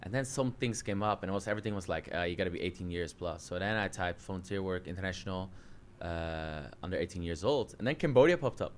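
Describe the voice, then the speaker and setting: A Dutch man in his early thirties, speaking English with a Dutch accent, relaxed and chatty as a coach telling his story in an interview. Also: low voice, fast talker, hardly any pauses, a little hiss or hum. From Netherlands. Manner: relaxed, chatty, many fillers and self-restarts. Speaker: jiu-jitsu coach and interview guest.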